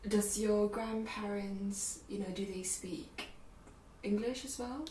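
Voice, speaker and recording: A woman's voice: mid-range voice, fairly even intonation, slow, dark tone. A woman interviewer asking short, hesitant questions in a casual chat. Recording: roomy, distant, faint hiss.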